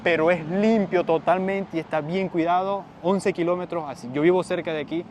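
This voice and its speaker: A Venezuelan man hosting a casual walking tour outdoors, chatty and quick to laugh. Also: high voice, steady tone, darkish tone, a little hiss or hum. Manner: chatty, laughs often, echoes the other speaker. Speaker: vlogger host. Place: outdoors on a city street.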